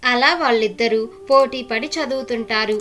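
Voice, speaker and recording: A woman's voice: on the high side, normal speed, fairly bright tone. A woman reading scripted narration as a storyteller.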